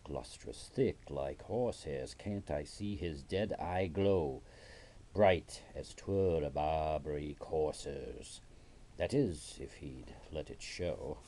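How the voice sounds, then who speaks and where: A man's voice: very deep voice, dark tone, full character voice. A man playing a spiteful, scheming Spanish monk in a dramatic poetry recitation, sneering and theatrical, swinging from outbursts to hisses and whispers. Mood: sly, leering.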